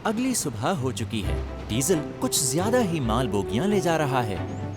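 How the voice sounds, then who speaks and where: A man's voice: medium pitch, very animated, measured pace, over loud music. A man acting as the storytelling narrator of a children's cartoon, reading scripted lines. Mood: narrating.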